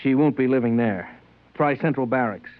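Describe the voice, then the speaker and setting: A man's voice: medium pitch, moderate pace, no echo, natural voice. Male actor as dogmatic sci-fi customs officer, curt and matter-of-fact, close dry sound, muffled vintage radio. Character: stern, dogmatic male customs agent of a regimented planet. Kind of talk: 1950s radio drama acting. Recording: dry, dull old radio recording.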